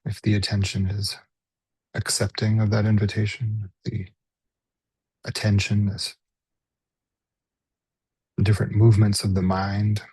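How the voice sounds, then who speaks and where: A man guides a meditation in a mellow, lowish voice that is very husky and full of breath. He speaks gently in short phrases with unhurried pauses between them. The sound is close and dry. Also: monotone, dry recording, rough recording. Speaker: mindfulness meditation teacher. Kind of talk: guided body scan meditation.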